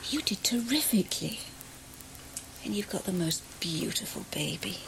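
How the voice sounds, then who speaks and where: An actress playing a flustered young woman who stammers and rushes while helping at a birth, in a radio drama. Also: mid-range voice, sing-song, creaky, a bit bright, whispered, rough recording. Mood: tender.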